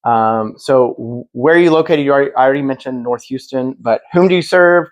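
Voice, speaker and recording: A man speaking as the moderator of a public event, giving a prepared introduction in an earnest, measured, explanatory way. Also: mid-range voice.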